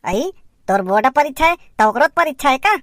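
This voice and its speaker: A woman's voice: high-pitched, expressive, fairly quick, dry recording. A woman voicing a strict, nagging village parent in a comic cartoon, with a Chhattisgarh accent and an exasperated, questioning delivery.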